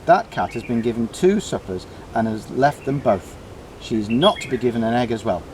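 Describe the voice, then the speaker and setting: A man's voice: mid-range voice, animated, normal speed, background noise, lightly acted. A man voicing a stern, cross father who scolds emphatically and lays down the law in a storybook. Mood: cross, scolding.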